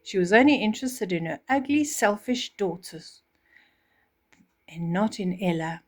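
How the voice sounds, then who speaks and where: An older woman reading a children's storybook, pausing to explain things simply as a bedtime storyteller. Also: mid-range voice, expressive.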